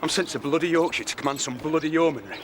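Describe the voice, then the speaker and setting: An actor playing a gruff, stubborn veteran major, blunt and surly, in a period drama. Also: male voice, on the high side, fast, noisy background, lightly acted. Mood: irritated.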